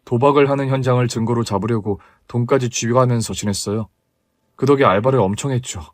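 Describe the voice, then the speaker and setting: A tearful, earnest grown son, voiced by a man in an audio story. He talks softly and a little lower, apologizing to his mother. The sound is close and dry. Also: fast, no echo, close to an everyday voice. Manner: soft, low and apologetic.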